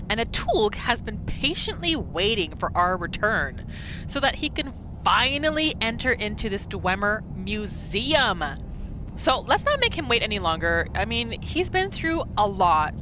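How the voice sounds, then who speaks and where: A woman hosting a gaming video, narrating a roleplay in an excited, conversational storytelling way. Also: mid-range voice, background noise. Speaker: host of a gaming video series. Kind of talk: lively narrated roleplay let's play. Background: background music.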